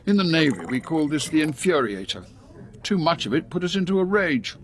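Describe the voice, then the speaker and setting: An actor playing an authoritative older British spy chief, brisk and ruthless, with clipped, formal delivery in a radio drama. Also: male voice, on the high side, sing-song, slight background noise. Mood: weary, wry.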